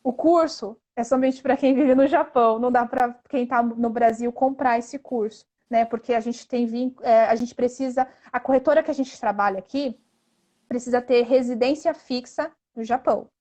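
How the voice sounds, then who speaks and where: A woman's voice: fairly high pitch, steady tone, moderate pace, darkish tone. A Brazilian woman speaking as an interview guest, explaining things earnestly and conversationally, often ending phrases with a tag question. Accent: Brazilian Portuguese. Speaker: interview guest, investment instructor.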